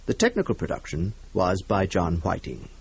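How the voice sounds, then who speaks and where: A man hosting a radio drama programme, announcing in a measured, formal and dry manner. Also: low-pitched, very animated, vocal fry, a little hiss or hum.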